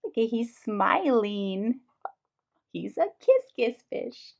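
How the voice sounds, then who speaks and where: A woman reading a storybook aloud to young children in an expressive, explanatory way. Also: a little high, slow pace, creaky. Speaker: read-aloud host for young English learners.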